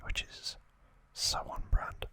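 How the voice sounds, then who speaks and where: A man hosting ASMR, giving a hushed, slow recap in short phrases in a breathy, unvoiced whisper. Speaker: ASMR host.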